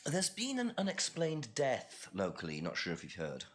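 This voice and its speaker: An actor playing a polite, probing police detective inspector in a filmed mystery game. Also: male voice, medium pitch, wildly swooping pitch, normal speed. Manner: courteous, inquisitive.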